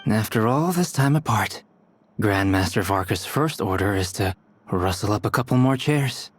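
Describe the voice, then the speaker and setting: A man voicing a calm, dry and understated young male alchemist and painter, in video game dialogue. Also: fairly low pitch, moderate pace, dry recording. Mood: deadpan. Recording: game audio with clear music behind.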